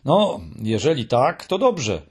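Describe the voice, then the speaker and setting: Guy doing a bubbly troll dude, higher than his own voice, kinda gravelly, rambles happily. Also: very animated, slow pace, darkish tone, heavily acted. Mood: friendly. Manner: eager, chatty, dreamy. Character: cheerful, friendly, clueless male troll creature. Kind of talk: audiobook character voice.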